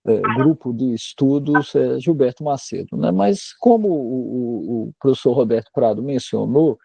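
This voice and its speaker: A man from Alagoas, Brazil, lecturing on neurology over a video call. His voice is gravelly and very dark, and the sound is dry and close. He speaks in a measured, courteous way, full of fillers. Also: mid-range voice, animated, no room sound. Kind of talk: online lecture.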